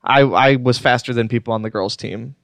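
A man's voice: mid-range voice, gravelly, a bit bright, no room sound. Joking, crude man hosting a podcast. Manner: joking, crude, quick to laugh. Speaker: podcast host. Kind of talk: casual comedic chat. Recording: dry studio.

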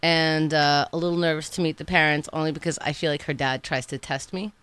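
Young new york woman chatting, bright voice, dry close sound, gets excited fast. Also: low voice, smooth, dry recording. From Israel. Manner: excitable, laughs loudly. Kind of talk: casual chat.

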